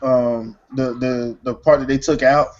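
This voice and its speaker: Male podcast host, medium pitch, easygoing slangy banter, slightly dull low-fidelity audio. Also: steady tone, measured pace, slight background noise. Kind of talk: casual gaming chat.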